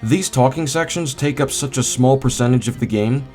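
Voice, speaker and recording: A man's voice: medium pitch, normal speed, a little mellow. Man in argumentative commentary over music. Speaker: host of a video essay rebutting a game review. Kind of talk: scripted commentary. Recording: music behind the voice. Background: background music.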